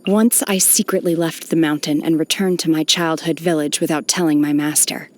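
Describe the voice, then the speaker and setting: Actress as a stoic young female exorcist, lowish voice, formal, measured, detached, melancholy undertone, video game character. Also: fairly even intonation, close to an everyday voice. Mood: somber, wistful. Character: stoic, solemn young adepti-trained exorcist woman, aloof yet melancholy.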